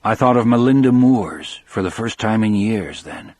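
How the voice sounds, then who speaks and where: A man narrating a dramatic first-person audiobook as a grief-stricken elderly former prison guard, in a measured, somber way. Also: fairly low pitch, lively intonation, a little mellow, lightly acted. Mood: somber, haunted.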